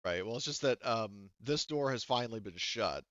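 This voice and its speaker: A man narrating a tabletop roleplaying game as game master, conversational and halting as he improvises. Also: fairly low pitch, animated, fairly bright tone, no room sound, lo-fi sound.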